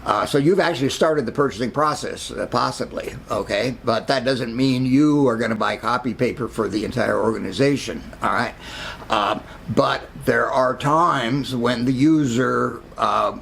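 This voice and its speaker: An older man with a gravelly, fry-laden voice, speaking at a middle pitch as he gives a relaxed marketing lecture to camera. He talks haltingly, with plenty of ums and restarts, and faint noise sits behind him. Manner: halting, many fillers and restarts. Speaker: marketing professor. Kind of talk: casual video lecture.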